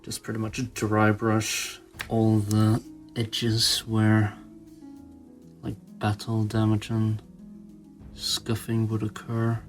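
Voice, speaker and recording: A man's voice: on the low side, monotone, slow, soft background music. A man giving a casual hobby tutorial, thinking aloud informally as he works. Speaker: toy customizer presenting own channel.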